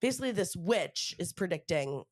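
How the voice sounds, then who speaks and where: A woman's voice: fairly low pitch, big pitch swings, normal speed, no room sound, clean studio sound. A woman hosting a podcast with a stuffy nose from a cold, chatting casually with lots of fillers. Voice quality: nasal. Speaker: podcast host. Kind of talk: casual chat.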